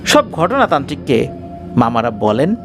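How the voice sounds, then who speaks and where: Male horror narrator, slightly high voice, very animated and dramatic, reading over a clear music bed. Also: moderate pace. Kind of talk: dramatic scripted narration of a ghost story.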